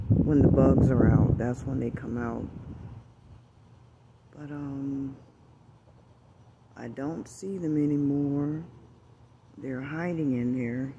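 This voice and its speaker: A man filming in a backyard, talking slowly and gently, often dropping to a hushed voice. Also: medium pitch, highs cut off, background noise. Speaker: birdwatching vlogger. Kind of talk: casual outdoor narration while filming. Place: outdoors in a backyard among bushes. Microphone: handheld phone camera.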